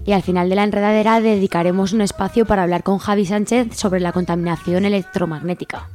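A woman's voice: medium pitch, fairly even intonation, fast, over music. A woman with a Spanish accent from Spain, presenting a radio programme as its host. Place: radio studio.

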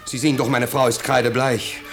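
An actor playing an anxious, ingratiating middle-aged bourgeois host in a 1950s radio play, pleading and blustering. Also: male voice, mid-range voice, flat delivery, a little fast, over music, lightly acted. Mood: alarmed. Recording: noisy 1950s radio recording.

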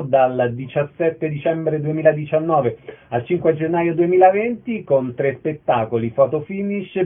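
A man hosting a radio interview, presenting events and putting questions to guests. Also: on the high side. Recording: narrow, phone-like sound.

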